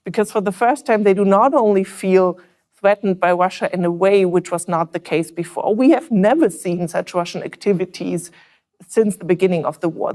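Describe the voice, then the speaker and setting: A woman with a German accent speaks on a policy panel in a fairly low voice. She lays out her points in an earnest, analytical way. She stops now and then on fillers and restarts. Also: dark tone. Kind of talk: panel discussion remarks.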